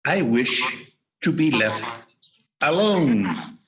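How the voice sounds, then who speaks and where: A man's voice: medium pitch, very animated, extremely slow, a crowd in the background. A man playing a sour, miserly old businessman who despises Christmas, curt and scornful, in an amateur radio play. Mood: cold, dismissive. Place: performed remotely over a video call. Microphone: video call.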